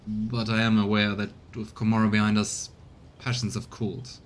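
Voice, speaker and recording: A man's voice: completely flat delivery, normal speed, close to an everyday voice. Man playing an earnest, hesitant inquisition agent. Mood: hesitant, grave. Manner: hesitant, formal, subdued. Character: earnest, restrained male inquisition agent, anxious lover. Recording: low rumbling background noise.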